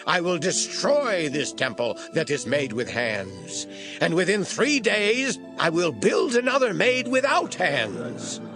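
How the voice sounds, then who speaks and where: Man acting a slow, accusing false witness. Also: a little high, huge pitch swings, over music, full character voice. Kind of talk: dramatized scripture reading.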